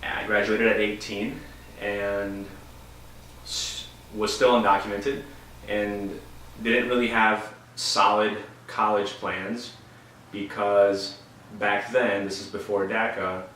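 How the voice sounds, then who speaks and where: Young man from Bolivia, low monotone, measured explainer, distant mic in a boomy room. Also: heavy reverb, slight background noise. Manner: measured, explanatory, some restarts. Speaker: interviewee. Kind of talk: calm explanatory testimony.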